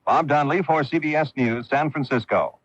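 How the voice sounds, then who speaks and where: A man's voice: a little high, a little fast, no room sound. An American man giving a scripted news report on old radio. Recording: dull dry old radio broadcast.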